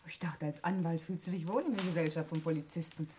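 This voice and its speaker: An actress playing a cool, shrewd, teasing sister in a 1960s German radio murder mystery, with an ironic, probing manner. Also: female voice, low voice, fast, mellow, lightly acted. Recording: noisy 1960s radio recording with clicks.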